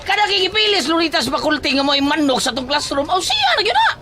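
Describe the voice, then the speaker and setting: A performer voicing a loud, bossy, excitable student in an audio drama, with a Filipino accent and exclaiming, teasing, drawn-out delivery. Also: high-pitched. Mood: insistent. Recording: background music and crowd noise.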